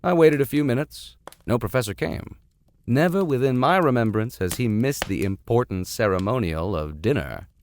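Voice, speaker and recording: A man's voice: medium pitch, lively intonation, normal speed, darkish tone, a little hiss or hum. A man reading a classic story aloud as host, theatrical in delivery, with wry asides. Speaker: reader, host.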